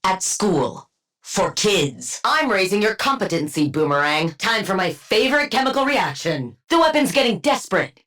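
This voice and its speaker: Casting: female game villain, genius scientist; clinical, condescending, sardonic, very animated intonation. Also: medium pitch, normal speed. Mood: sharp, commanding. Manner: precise, clinical, condescending, dry wit. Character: brilliant, arrogant, sardonic woman supervillain scientist. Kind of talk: video game character voice lines.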